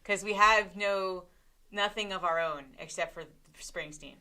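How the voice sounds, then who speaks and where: A woman's voice: medium pitch, unhurried, bright, forceful tone. A woman, a panelist on a sports chat show, talking in a wry, joking way. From South Jersey. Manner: wry, joking, repeats herself.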